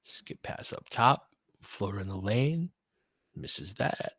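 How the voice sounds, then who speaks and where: A man's voice: on the low side, expressive, slow, fairly bright tone, slightly rough, no echo. Man casually commentating live basketball, dry close sound. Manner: conversational, thinking aloud in fragments. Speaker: basketball play-by-play host and fan commentator. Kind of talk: casual live game commentary and fan analysis.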